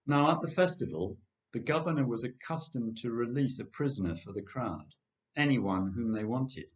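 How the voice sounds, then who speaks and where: An older man reading a Gospel passage aloud with measured, deliberate delivery, on a webcam mic in a home kitchen. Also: mid-range voice, expressive. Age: older, 60s or above. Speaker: church congregation member reading scripture. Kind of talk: scripture reading, narration of a Gospel. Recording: dull lo-fi home webcam sound.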